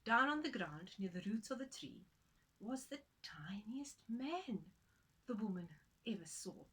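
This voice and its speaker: A woman's voice: fairly high pitch, expressive, measured pace, bright, forceful tone, slightly rough. A woman storyteller narrating a folktale for children, dramatic and playful in her delivery.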